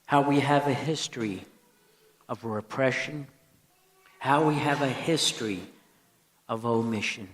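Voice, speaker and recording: Slow priest in an echoing church. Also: male voice, medium pitch. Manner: solemn, emphatic repetition, deliberate pauses. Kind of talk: sermon. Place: large church interior. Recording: echoey church with long reverb.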